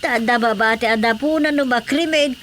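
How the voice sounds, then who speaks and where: A woman's voice: a little high, moderate pace, a bit bright, a little hiss or hum, close to an everyday voice. A woman with an Ilocano accent playing a worried, long-suffering mother in a radio drama. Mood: worried, resolute.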